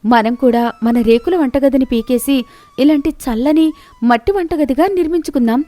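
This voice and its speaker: A woman's voice: sits high, moderate pace, mellow, no room sound, close to an everyday voice. A woman voicing a kind, hardworking young daughter-in-law in a village moral story, earnest and respectful. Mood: bright, determined.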